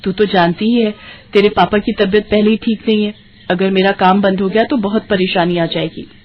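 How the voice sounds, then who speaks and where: A woman's voice: mid-range voice, steady tone, normal speed, muffled recording, lightly acted. Woman as a humble, worried poor mother. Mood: consoling, worried.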